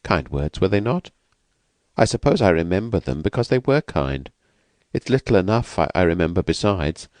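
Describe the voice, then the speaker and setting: A man voicing a frightened, fragile young woman who has escaped from an asylum, speaking softly and hesitantly. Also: deep voice, very animated, moderate pace, no room sound. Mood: fearful, wistful. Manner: hesitant, vacant, soft-spoken.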